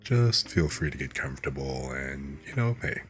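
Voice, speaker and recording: A hoarse man hosting a live stream, chatting in a relaxed, rambling, soft-spoken way. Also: extremely low pitch, normal speed, soft background music. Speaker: streamer host. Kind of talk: laid-back stream chat.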